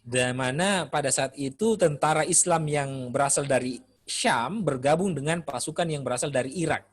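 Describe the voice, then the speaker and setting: A man giving an Islamic studies lecture in a relaxed, conversational way, often pausing with "eh" while he thinks. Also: fairly high pitch, no echo. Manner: relaxed, conversational, frequent "eh" pauses. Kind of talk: classroom lecture.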